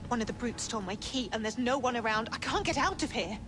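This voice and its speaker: An actress voicing a polite, flustered Victorian nurse in a video game, with a genteel manner. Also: female voice, a little high, lively intonation, fast talker, background noise, close to an everyday voice. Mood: distressed. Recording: game audio under loud music.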